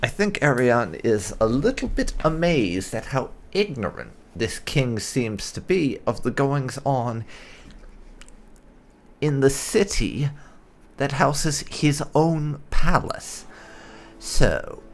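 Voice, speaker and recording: Slow, gravelly gaming commentator, man. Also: mid-range voice, big pitch swings. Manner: wry, opinionated. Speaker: gaming channel host. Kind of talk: roleplay gameplay commentary.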